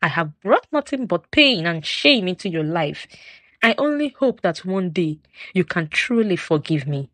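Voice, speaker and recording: A woman's voice: fairly low pitch, big pitch swings, measured pace, a bit bright, no room sound. A woman voicing an ashamed, repentant sister in a folktale, contrite and subdued.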